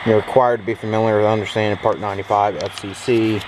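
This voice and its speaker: An American man casually talking through a demonstration in an unhurried, rambling way, recorded on a handheld camera inside a pickup truck. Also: on the low side, little pitch movement. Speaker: vlogger demonstrating an old CB radio. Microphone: handheld camera, speaker off screen. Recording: very noisy, radio static and vehicle ambience.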